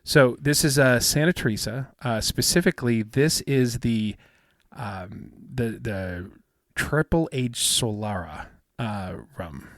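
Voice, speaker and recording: A man in his fifties with a soothing, smooth voice, chatting casually as a podcast co-host and rambling with many fillers and restarts. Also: mid-range voice, slow, few pauses, no echo, clean studio sound. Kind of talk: casual chat.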